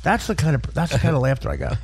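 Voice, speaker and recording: A male comic with a gravelly, husky voice rambles through crude stories in a casual way. His delivery is animated and profane, with pitch that moves around a lot. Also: rapid-fire. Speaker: stand-up comedian. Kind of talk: casual, profane comedy storytelling.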